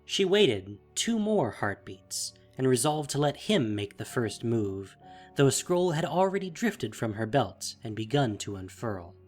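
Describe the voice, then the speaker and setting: A man's voice: mid-range voice, very animated, measured pace, faint music behind. A man narrating a fantasy audio drama in a dramatic, scripted style.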